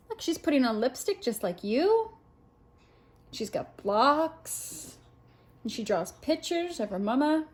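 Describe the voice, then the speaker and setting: A woman's voice: high-pitched, a little mellow. A woman reading a picture book aloud at story time, expressively, with little asides to a small child.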